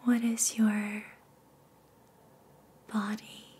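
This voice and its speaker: A woman guiding a meditation in soothing ASMR style, in a soft, breathy whisper with repeated phrases and long pauses. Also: medium pitch, flat delivery. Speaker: ASMR reiki practitioner and meditation guide.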